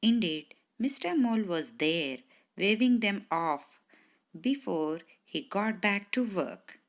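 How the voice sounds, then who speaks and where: Slow-paced woman reading a bedtime story. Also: medium pitch, lively intonation. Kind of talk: scripted bedtime story narration for children.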